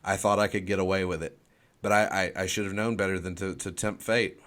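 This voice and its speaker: A man with a fairly low voice talks casually on a sports podcast panel. He sounds playfully contrite and often doubles back on his own phrases. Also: steady tone, brisk, a bit bright.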